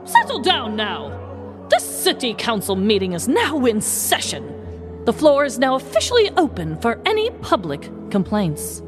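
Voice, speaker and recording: A woman's voice: a little high, wildly swooping pitch, a little slow, voice pushed up. A woman voicing a stern, officious councilwoman in a comic story, commanding a rowdy meeting, with music behind.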